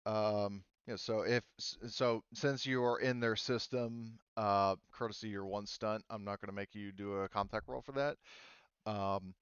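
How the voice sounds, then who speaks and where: A man running a tabletop game, improvising the narration in a halting, casual way. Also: on the low side, steady tone, smooth, no echo, highs cut off. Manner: halting, hesitant, casual delivery. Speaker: game master.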